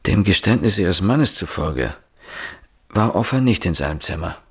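An actor playing an insistent, probing police inspector, questioning deliberately in a naturalistic radio drama. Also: male voice, low-pitched, animated, slightly rough, heavily acted. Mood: stern, probing.

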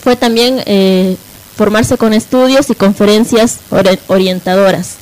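A woman's voice: mid-range voice, a little slow, darkish tone, poor audio. A woman in an informal radio discussion, explaining things and often checking for agreement. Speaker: radio panelist.

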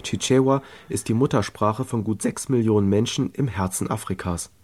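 Lower-voiced male radio narrator. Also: moderate pace, slight background noise. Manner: calm, informative reading. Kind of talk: scripted radio feature narration.

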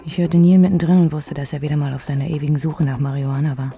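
An actress playing a woman recalling her bohemian youth in an intimate radio memoir, breathy and raspy, at times close to a whisper. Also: low-pitched, fairly even intonation, fast, mellow, soft background music. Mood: reflective.

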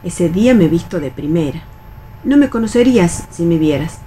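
An actress playing a resigned, bossy veteran factory worker in a radio play, with an Argentine accent and a colloquial, admonishing way of talking. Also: female voice, fairly low pitch, lively intonation, moderate pace, mellow, a little hiss or hum, natural voice. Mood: proud, chatty. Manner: colloquial, admonishing, world-weary. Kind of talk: radio read theatre.

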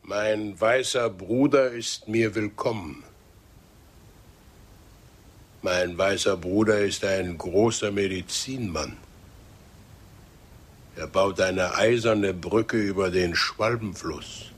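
Slow, solemn, cunning chief. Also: male voice, on the low side, breathy edge. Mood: ceremonious. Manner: solemn, measured, formal third-person speech. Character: grave, cunning Comanche chief, ceremonious and deliberate. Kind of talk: German radio drama acting.

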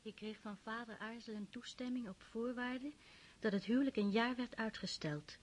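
An actress playing a devout, dutiful young noblewoman who speaks softly and respectfully in a radio drama. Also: fairly high pitch, moderate pace, slight background noise, close to an everyday voice. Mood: earnest. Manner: soft, deferential, subdued.

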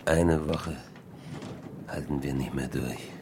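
The last man in a radio-play lifeboat, whispering weakly in a slow gravelly rumble as the sea sloshes loudly. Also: little pitch movement. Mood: resigned, pleading. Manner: weak, halting, whispery. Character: dying, starving male navy lieutenant adrift at sea. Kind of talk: radio drama acting. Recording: loud sea and boat noise.

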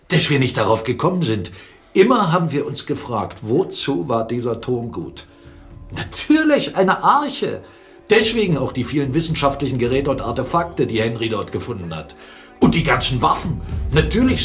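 An actor playing a forceful, excitable captain and mill owner in a radio play, mocking and animated, swinging between murmurs and exclamations. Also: male voice, mid-range voice, moderate pace, faint music behind. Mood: excited discovery. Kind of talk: German radio play dialogue.

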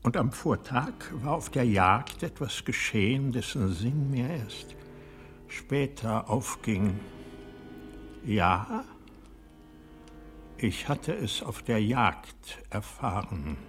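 An actor playing a bitter, proud old aristocratic man in a radio drama, speaking in a husky, breathy voice that often sinks toward a whisper, deliberate and accusing. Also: medium pitch, expressive, a bit bright, faint music behind. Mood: brooding, scornful. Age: older. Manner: deliberate, halting, intense, accusing. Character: bitter, proud old aristocratic man, former hunter, brooding over betrayal. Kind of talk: radio drama monologue acting.

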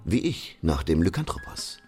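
Pompous, animated gentleman monster hunter. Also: deep voice, normal speed, faint music behind. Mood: assured. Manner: pompous, exclamatory, mannered. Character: dapper, excitable British gentleman monster hunter. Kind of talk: radio play acting.

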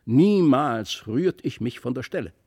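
A man acts out a stately old stork from a storybook. The bird is grave and wise, a contented homebody who speaks formally, in measured, old-fashioned phrases. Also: mid-range voice, expressive, gravelly, warm tone, husky, no room sound, studio quality, heavily acted. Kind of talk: storybook reading.

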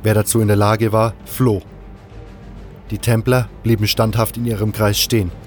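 A man with a fairly low voice narrates a fantasy adventure story in the first person. Music plays under his scripted reading. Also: little pitch movement, normal speed, darkish tone.

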